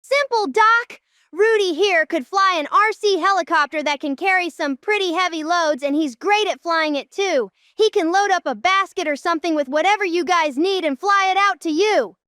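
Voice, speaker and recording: A woman's voice: extremely high pitch, normal speed, sharp tone, no room sound, studio quality. A woman playing a helpful, matter-of-fact kid in a cartoon comic dub, explaining things tidily. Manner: tidy, explanatory. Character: helpful, matter-of-fact kid who flies model planes.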